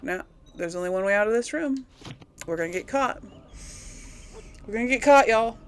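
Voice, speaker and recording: A woman's voice: on the high side, expressive, moderate pace, a little hiss or hum. A woman hosting a casual gaming let's play, thinking aloud in a chatty, folksy way with a slight throaty tickle from a recent cold. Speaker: gaming video host.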